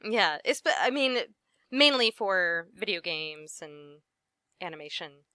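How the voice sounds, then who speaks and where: A woman's voice: lowish voice, lively intonation, unhurried, bright, forceful tone, clean tone, dry recording, clean studio sound. A young adult woman, a voice actress, chatting casually in an interview, with lots of fillers and easy laughs.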